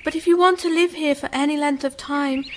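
An actress playing a serene, alluring moon-dwelling woman in a radio drama, speaking with measured, solemn assurance. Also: high voice, fairly even intonation, slight background noise, natural voice. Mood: gently insistent.

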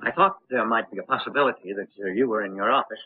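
An actor playing a gruff old eccentric patriarch. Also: male voice, fairly low pitch, fast, natural voice. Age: older. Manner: gruff, blunt, short sentences. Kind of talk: 1930s film acting. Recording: tinny 1930s film soundtrack with faint hiss.

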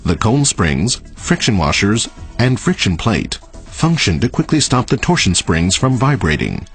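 Lively-pitched male technical narrator. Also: unhurried, faint music behind. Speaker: narrator of a technical training video. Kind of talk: scripted instructional narration.

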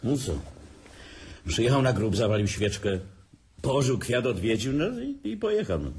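An actor playing a mocking, sarcastic middle-aged older brother in a radio drama. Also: male voice, mid-range voice, very animated, moderate pace, darkish tone, lightly acted.

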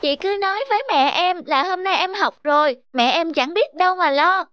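An actress voicing a lonely, sulky young schoolboy in a cartoon, talking stubbornly. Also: female voice, very high voice, moderate pace, highs cut off. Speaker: cartoon character. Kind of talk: cartoon voice acting.

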